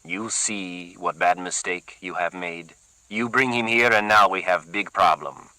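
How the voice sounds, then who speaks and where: A man voicing a menacing Puerto Rican gang leader who talks slowly and quietly in broken English with a Puerto Rican Spanish accent. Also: no echo, exaggerated voice, rough recording. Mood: threatening. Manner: slow, low, murmuring, broken English.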